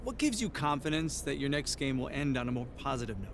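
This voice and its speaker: Friendly sports reporter. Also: male voice, medium pitch, sing-song, fast talker, background noise. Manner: polite, easygoing questioning.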